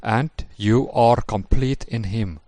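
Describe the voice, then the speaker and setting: A man in a recorded lesson presentation, reading scripture passages in a measured, formal way. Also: on the low side, mellow, no echo.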